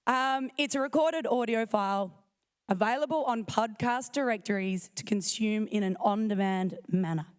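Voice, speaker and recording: A woman with a croaky, failing voice giving a chatty, self-joking conference talk. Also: mid-range voice, normal speed. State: croaky, losing her voice. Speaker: conference speaker. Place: conference hall.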